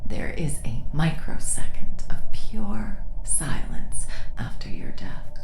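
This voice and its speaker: A woman voicing a weary, ancient immortal woman in an ASMR roleplay, speaking softly and often in a whisper. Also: deep voice, big pitch swings, vocal fry, echoey room, full character voice. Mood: weary pleading. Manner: soft, slow, often whispered. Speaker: voice actor.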